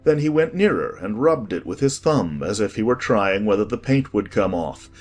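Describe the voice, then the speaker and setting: A man narrating a storybook from a script as an expressive audiobook reader. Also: fairly low pitch, moderate pace, faint music behind.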